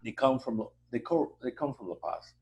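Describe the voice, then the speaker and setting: A man with a Mexican accent, a native Spanish speaker, being interviewed as a hotel association president, speaking haltingly with many fillers and a warm promotional tone. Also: medium pitch, expressive, creaky. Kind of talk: conversational interview.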